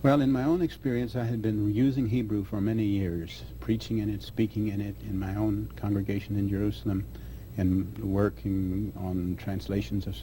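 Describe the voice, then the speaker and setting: A man answering interview questions as a scholar, speaking haltingly with restarts mid-phrase. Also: fairly low pitch, warm tone, slight background noise, rough recording. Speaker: guest scholar.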